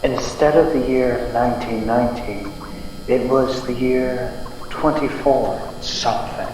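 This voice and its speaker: A young man playing an earnest, polite heroic space adventurer in an old-time radio serial, speaking deliberately. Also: medium pitch, lightly acted. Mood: solemn recounting. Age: young adult. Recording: very echoey hall, distant, faint hum.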